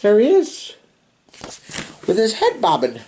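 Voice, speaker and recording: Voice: medium pitch, huge pitch swings, slow, dark tone, a little hiss or hum. A storybook reader reading aloud to children in a theatrical, playful way with chatty asides.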